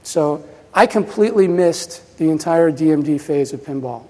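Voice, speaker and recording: A man's voice: a little high, expressive, moderate pace, darkish tone. Man in his fifties giving a rambling talk in a hall. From New Jersey, USA. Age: 50s. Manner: casual, rambling, frequent fillers and restarts. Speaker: presenter at a pinball festival. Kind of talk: conference talk. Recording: reverberant hall.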